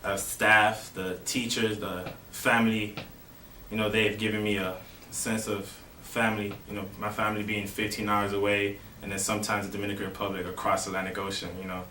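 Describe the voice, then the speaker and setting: A young man from Miami, a college baseball player, answering interview questions in a relaxed way with lots of "you know". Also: fairly low pitch, brisk. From Miami, Florida. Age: young adult. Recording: roomy, distant mic with faint noise.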